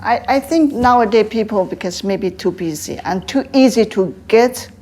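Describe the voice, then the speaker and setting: An older woman from Taiwan with a Taiwanese accent, answering interview questions in halting English with frequent fillers. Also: mid-range voice, lively intonation, mellow. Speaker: guest, tea house owner.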